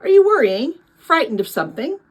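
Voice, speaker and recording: Storybook dad, gentle and concerned, animated intonation female reader. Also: sits high, a little fast, voice pushed up. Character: concerned, kindly father of a boy.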